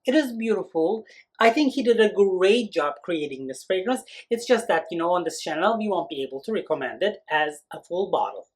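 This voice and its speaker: A man's voice: high voice, fairly quick. Chatty man reviewing fragrances. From Turkey. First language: Turkish. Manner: chatty and expressive, many "you know" and "like" fillers. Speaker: fragrance reviewer, channel host. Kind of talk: casual review talk to camera.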